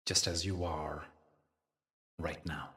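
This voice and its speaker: A man with a low-pitched voice guides a meditation very slowly and deliberately. He leaves pauses between phrases in a soothing, teacherly way. The microphone sounds some distance away. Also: fairly even intonation, sharp tone.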